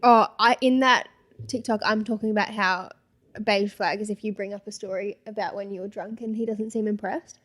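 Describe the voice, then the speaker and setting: A woman's voice: medium pitch, fairly even intonation, fairly quick, fairly bright tone. A young woman with an Australian accent co-hosting a podcast, reading comments aloud with sarcastic asides and frequent laughter. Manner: giggly, sarcastic, laughs often. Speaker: podcast co-host.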